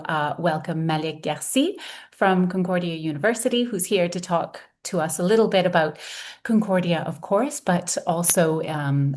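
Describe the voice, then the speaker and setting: Woman from Montreal, lowish voice, welcoming webinar host on a video call. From Montreal, Canada. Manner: welcoming, measured, with fillers. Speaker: webinar host, college counseling director. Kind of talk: webinar hosting.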